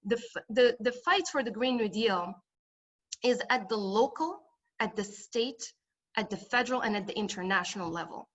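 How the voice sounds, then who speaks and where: Higher-voiced woman candidate, dull audio. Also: normal speed. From Morocco. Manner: emphatic, repetitive campaign phrasing. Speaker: congressional candidate. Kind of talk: political forum speech.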